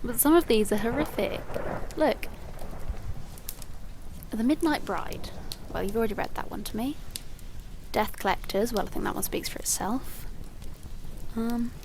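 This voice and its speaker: Audio drama female role: curious, blunt sceptic, slightly high voice, light noise in the background. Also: unhurried, pauses often, a little mellow. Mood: sceptical. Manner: questioning, frank. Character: inquisitive, sceptical female listener, frank and wry.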